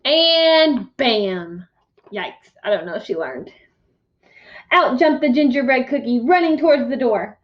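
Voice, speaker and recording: A woman teacher reading a picture book aloud to young children, expressive and instructive, pausing to ask questions. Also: high-pitched. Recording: dull, muffled lo-fi sound.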